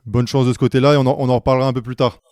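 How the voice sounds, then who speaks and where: A man's voice: medium pitch, level tone, very fast, darkish tone, clear, resonant tone, no room sound, studio quality. A young man hosting a basketball podcast, chatting casually and friendly with lots of fillers and restarts. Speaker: podcast host.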